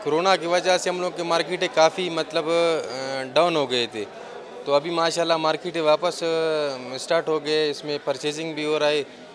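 Quetta man over clear crowd noise. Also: a little high. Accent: Pakistani, Quetta. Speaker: property company owner. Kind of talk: spontaneous on-camera news interview. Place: indoor expo hall. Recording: busy indoor hall crowd noise. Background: crowd chatter.

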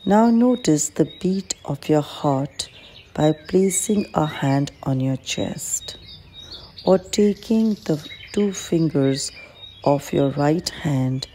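Calm female meditation guide, low and mellow, gentle instructional delivery, birdsong faintly behind. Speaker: clinical psychologist guiding a meditation. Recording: faint background noise with birdsong.

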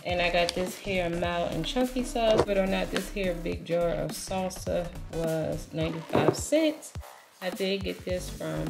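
A woman talking casually to her viewers as she shows what she bought, often calling them y'all. Her voice has a gravelly edge. Music plays clearly underneath her. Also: on the low side, steady tone, a little slow. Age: adult, has a daughter. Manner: chatty, addresses viewers as y'all. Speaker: vlogger. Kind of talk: casual grocery haul chat.

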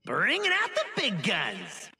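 A woman's voice: medium pitch, wildly swooping pitch, slow, bright, forceful tone, faint music behind, full character voice. A woman voicing a bold female fighter, delivering dramatic video game battle lines. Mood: commanding.

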